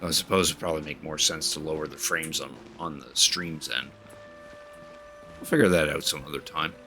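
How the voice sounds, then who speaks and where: Male streamer, extremely low voice, casual game commentary over faint background music. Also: fairly even intonation, normal speed, sharp tone.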